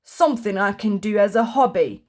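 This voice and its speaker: A woman voicing a grumpy male storybook character who moans and complains in a drawn-out grumble. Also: medium pitch, expressive, a little mellow, natural voice. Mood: grumbling, sulky. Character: grumpy, moaning male Mr. Men character who hates everything.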